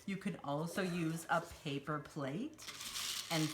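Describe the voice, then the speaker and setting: A woman's voice: sits low, measured pace, noisy background. A woman hosting a children's storytime, talking simply and encouragingly to young children as she demonstrates a craft. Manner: refers to herself in third person.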